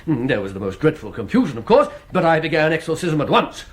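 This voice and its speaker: Wildly swooping, zealous priest. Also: male voice, a little high, big pitch swings, fast. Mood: dramatic. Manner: pompous, theatrical. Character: zealous, self-important male priest obsessed with exorcising Satan. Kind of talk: radio drama dialogue.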